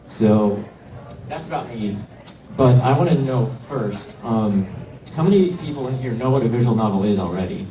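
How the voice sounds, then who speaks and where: A man's voice: mid-range voice, expressive, normal speed, warm tone. Man presenting in an echoey, noisy room. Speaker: convention panel presenter, indie game developer. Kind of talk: informal slide presentation to an audience. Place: indoor convention panel room. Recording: echoey room, distant mic, heavy noise.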